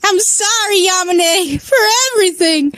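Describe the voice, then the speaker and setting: Woman playing a high, shaky, tearful young man apologizing. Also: darkish tone, no room sound, heavily acted. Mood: shaky apology. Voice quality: shaky, cracking voice. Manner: halting, wailing, emotional. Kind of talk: amateur dramatic story reading.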